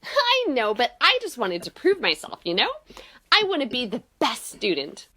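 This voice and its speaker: A woman playing an eager, excitable young female student assistant in an audio drama, gushing and keen to please. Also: fairly high pitch, normal speed, full character voice. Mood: eager, hopeful.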